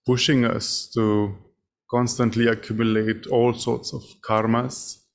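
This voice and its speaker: A man leading a guided meditation as a teacher, speaking in short, measured phrases with long pauses between them. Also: medium pitch, flat delivery. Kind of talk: guided meditation, spoken instruction.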